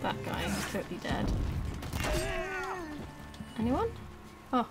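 A woman doing casual let's play commentary, excitable and self-deprecating, mostly talking to herself as she plays. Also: mid-range voice, slow. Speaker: gaming YouTuber. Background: loud game music and combat sound.

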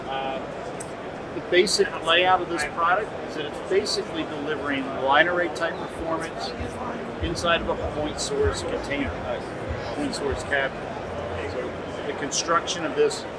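A man in his forties giving a measured, technical product demonstration as a company representative. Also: fairly high pitch, heavy background noise. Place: busy indoor convention hall booth. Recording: loud trade show hall, distant mic. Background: loud exhibition hall ambience.

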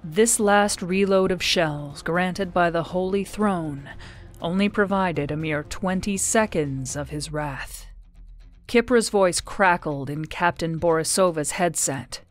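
A woman reading a scripted war story as a dramatic audiobook narrator. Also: on the low side. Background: music behind the voice.

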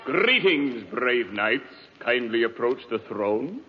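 Grand formal king, announcer style, lively pitch, old echoey radio. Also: takes it slowly, over music. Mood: regal. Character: stately, worried old-time costume-drama king. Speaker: announcer and actor. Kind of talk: 1940s radio comedy acting. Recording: dull, echoing, noisy old radio broadcast.

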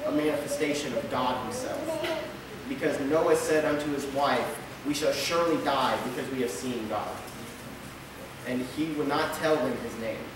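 A man's voice: medium pitch, distant mic, noisy background. Young man preaching in an echoey church. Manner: reads steadily from a script at the pulpit, with occasional stumbles and filler. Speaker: lay preacher. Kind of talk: sermon read from notes. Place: small church sanctuary. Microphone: pulpit mic, camera at the back. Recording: echoey church hall recorded from afar.

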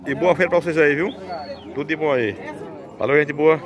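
A man's voice: a little high, a little fast. A man vlogging casually from outdoor cattle pens at a livestock fair, filming on a handheld phone and talking straight to his viewers with repeated tag words. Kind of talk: casual vlog showing cattle. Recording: loud crowd noise behind.

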